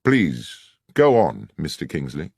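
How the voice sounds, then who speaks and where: Man doing a sharp-minded victorian gentleman detective, polite but probing, husky, swooping pitch, audiobook style. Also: sing-song, no echo, studio quality, full character voice. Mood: cool, probing. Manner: measured, formal, probing. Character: incisive, courteous male Victorian gentleman detective. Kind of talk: dramatized audiobook character dialogue.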